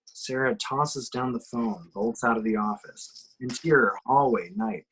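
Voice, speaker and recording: A man with a medium-pitched, slightly rough voice narrates a film script. He reads scene headings and action lines as the narrator of an amateur table read. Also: measured pace, fairly bright tone, low-quality recording. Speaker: action-description reader.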